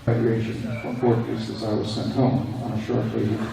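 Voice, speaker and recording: A man's voice: fairly low pitch, flat delivery, a little fast, gravelly, crowd noise. An older man from Indonesia giving a prepared speech to an audience at a veterans event, with dry, plain-spoken humour. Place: large echoey hall, recorded from far off. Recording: echoey hall, distant camera, background noise.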